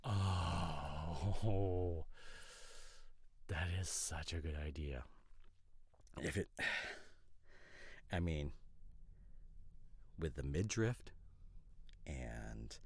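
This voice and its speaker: A man playing an opinionated, teasing boyfriend in an ASMR roleplay, chatting casually in a hesitant, rambling way with mock seriousness and a gravelly voice. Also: very deep voice, lively intonation, extremely slow, long pauses, darkish tone, dry recording, clean studio sound, exaggerated voice. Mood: pleased. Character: opinionated, teasing boyfriend debating couple's costume ideas.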